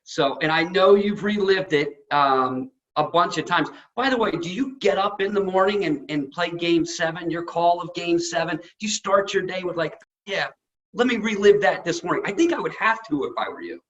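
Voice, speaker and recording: High-voiced man hosting in an echoey room. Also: lively intonation, moderate pace, fairly bright tone, highs cut off. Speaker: show host, interviewer. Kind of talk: casual upbeat interview chat. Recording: very echoey room, faint music.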